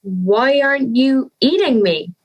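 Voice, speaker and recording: A young woman plays an earnest schoolgirl in an audio drama. Her voice is high and very melodic, moving slowly through her lines with an anxious air. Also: lively intonation, exaggerated voice. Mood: amazed.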